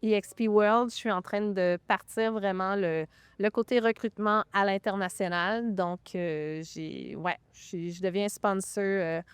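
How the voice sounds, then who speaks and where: A woman from Quebec with a Québécois French accent, a real estate broker chatting enthusiastically as a podcast guest, often pausing on fillers. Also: mid-range voice, steady tone, smooth, no echo. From Quebec (Magog). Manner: enthusiastic, talks with lots of hesitation fillers. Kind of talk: casual interview chat.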